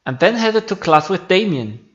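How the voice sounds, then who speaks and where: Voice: mid-range voice, big pitch swings, normal speed, fairly bright tone, muffled recording. A non-native English speaker reading game story text aloud as a playthrough narrator. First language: not English. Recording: game music behind the voice.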